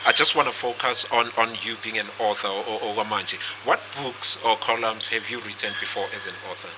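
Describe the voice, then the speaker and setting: Low-voiced South African podcast host. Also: male voice, steady tone, fairly quick. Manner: chatty, mixes in Zulu phrases. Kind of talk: casual interview chat. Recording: noisy podcast recording.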